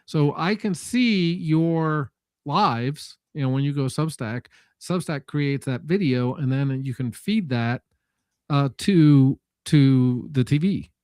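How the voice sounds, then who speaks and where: A man in a casual tech discussion, speaking haltingly and correcting himself as he thinks aloud. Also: a little high, darkish tone, no room sound, clean studio sound.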